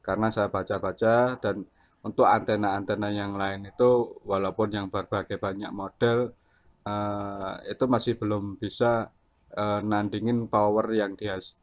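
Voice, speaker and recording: A man's voice: on the low side, little pitch movement, a little slow. A man chatting casually about technical matters as an enthusiastic radio hobbyist.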